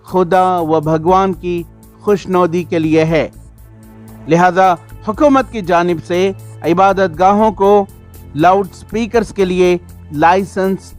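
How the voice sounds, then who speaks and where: Slow, high-voiced male news reporter. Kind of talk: scripted news narration.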